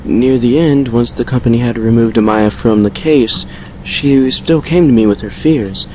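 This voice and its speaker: A man playing a courteous, secretive vampire lord in an audio drama, speaking in a measured, formal, confiding way. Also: mid-range voice, darkish tone, a little hiss or hum, natural voice, low-quality recording. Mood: reflective, grave. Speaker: voice actor in a fan fiction audio drama. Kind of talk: dramatic character dialogue and storytelling.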